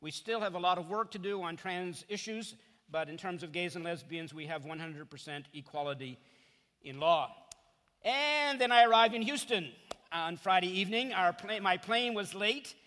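A middle-aged man from Canada delivers a guest sermon in a lively, storytelling way, poking fun at himself. His voice sits high and sounds bright and forceful. It rings out with a long reverb tail in a large hall. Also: moderate pace. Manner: chatty, self-deprecating storyteller. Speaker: guest preacher. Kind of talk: sermon with humorous stories.

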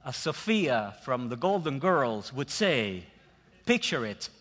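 Man, Puerto Rican Spanish accent, native Spanish speaker, guest preacher, unhurried sermon, long church reverb. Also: mid-range voice, very animated, slight background noise. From Puerto Rico. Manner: slow, measured preaching with dramatic pauses and soft trailing endings. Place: church sanctuary.

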